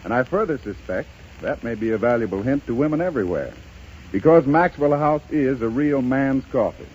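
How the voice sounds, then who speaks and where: A man working as a radio announcer, delivering a scripted 1930s sponsor pitch. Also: lively intonation, brisk, darkish tone. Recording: muffled, noisy 1930s radio broadcast.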